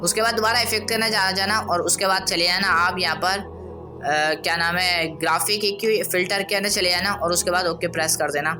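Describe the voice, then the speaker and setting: A man presents an audio editing tutorial in a casual, instructional way. His informal commentary runs over clearly audible music. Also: high-pitched, fairly even intonation, moderate pace.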